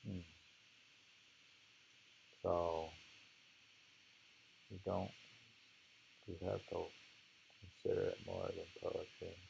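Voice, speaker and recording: A man with a very low, creaky voice reads aloud and comments informally. He is halting and musing, often pausing. The recording has some ambient room noise. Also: very animated, a little mellow, low-quality recording. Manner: halting, musing, with pauses and hums. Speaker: reader and discussion host.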